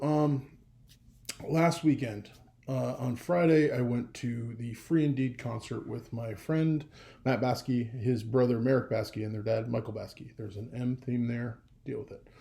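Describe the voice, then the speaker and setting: A young man telling a personal story in a casual vlog, rambling and animated, with a gravelly voice. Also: mid-range voice. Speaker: vlogger.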